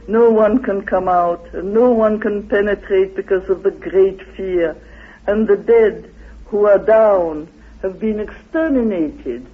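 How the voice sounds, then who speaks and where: Slow halting guy explaining stuff in an interview, kinda husky, asks himself questions, tinny old radio sound. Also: sits high. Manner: halting, deliberate, asks and answers his own questions. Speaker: interviewee expounding his theory. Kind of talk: interview, explaining with recited quotations. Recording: phone-like, muffled old radio recording.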